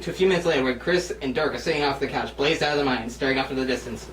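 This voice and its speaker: A man's voice: mid-range voice, rapid-fire, a bit bright. A man in his twenties casually reading out script stage directions as host and narrator. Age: 20s. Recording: roomy, distant mic, faint noise.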